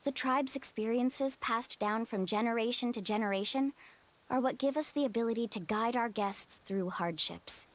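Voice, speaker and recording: A woman as a high-voiced, confident young guide. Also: normal speed, natural voice. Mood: reflective. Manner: firm, assured. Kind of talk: video game character acting.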